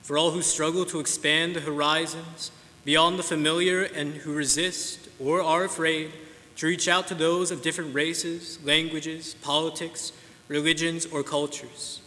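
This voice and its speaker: A man reading intercessions aloud as a lector, in a steady, formal way. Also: fairly high pitch, measured pace. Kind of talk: reading prayers of the faithful. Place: large church sanctuary. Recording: long church reverb, faint noise.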